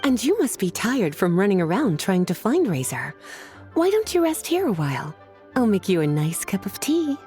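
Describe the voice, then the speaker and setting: A woman voicing a kind mature teacher who speaks softly and patiently. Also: medium pitch, sing-song, moderate pace, soft background music, lightly acted. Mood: caring. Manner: soft, patient, caring. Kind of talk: game voice acting.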